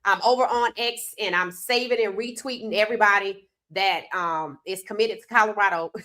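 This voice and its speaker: A woman hosts a sports podcast in a loose, conversational way, talking straight to her audience. She is a little congested from a cold, which gives her voice a stuffy edge. Her pitch sits in an ordinary middle range. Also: normal speed, sharp tone. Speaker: podcast host. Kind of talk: casual sports commentary.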